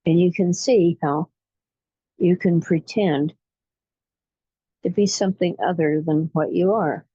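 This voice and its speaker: A woman leading a guided relaxation meditation in a soothing, unhurried way, pausing between short phrases. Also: lowish voice, warm tone, husky, no room sound, muffled recording. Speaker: guided imagery facilitator.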